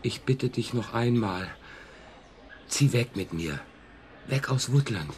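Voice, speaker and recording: A man's voice: mid-range voice, expressive, slow pace, a little mellow, slight background noise. An actor in a German radio play voicing a frustrated, brooding middle-aged husband who mutters to himself about his model trains. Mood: weary, resentful.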